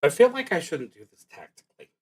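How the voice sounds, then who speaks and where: A man thinking aloud in a halting, deliberating way as he streams a solo tabletop game. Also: fairly high pitch, sing-song, husky.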